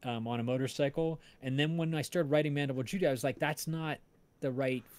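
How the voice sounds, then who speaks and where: A man hosting a casual livestream chat, talking in a halting way as he searches for words. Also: medium pitch, steady tone, a little mellow, clear, resonant tone. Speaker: host of a cast and crew livestream, audio drama writer.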